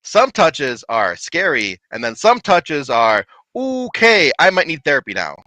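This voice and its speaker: A man telling a lively, laughing story from his paranormal investigations in casual chat. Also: on the high side, measured pace, no room sound, low-quality recording. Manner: animated, laughing, big voice.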